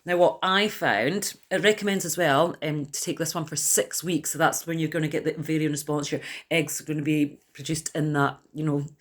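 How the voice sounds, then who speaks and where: A woman in her forties with a deep voice, talking to camera in a chatty, rambling way full of fillers and restarts. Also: fairly even intonation, brisk. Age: 40s. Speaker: wellness vlogger. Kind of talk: casual talk to camera.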